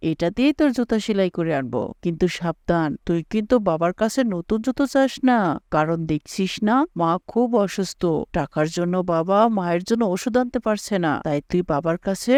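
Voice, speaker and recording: A woman's voice: lowish voice, expressive, fairly quick, a little mellow, no room sound, studio quality, lightly acted. A woman voicing an earnest, caring village boy, a protective older brother in a cartoon moral story. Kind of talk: animated moral story dialogue.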